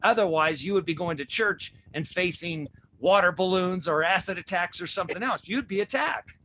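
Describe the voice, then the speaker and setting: Preachy guy hosting a faith show, highish bright voice, keeps asking rhetorical questions. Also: normal speed, slight background noise. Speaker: host. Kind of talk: religious talk show.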